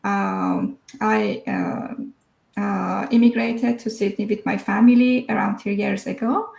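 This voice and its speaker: A woman's voice: mid-range voice, fairly even intonation, measured pace, vocal fry, darkish tone, echoey room, rough recording. A woman, telling her personal story as an interview guest, hesitant and full of fillers. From Iran.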